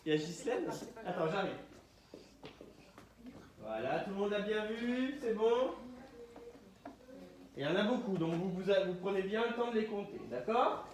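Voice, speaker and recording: A man's voice: high-pitched, lively intonation, moderate pace, long pauses. A male kindergarten teacher with a stuffy nose from a cold, leading a playful lesson and coaxing young children along. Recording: echoey room, voice a bit far from mic. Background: children talking nearby.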